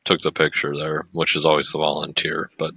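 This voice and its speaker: A man co-hosting a podcast in casual chat, talking in a halting, hesitant way. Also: extremely low pitch, monotone, vocal fry, sharp tone, husky, no echo. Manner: halting, hesitant, many fillers and restarts. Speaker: podcast co-host.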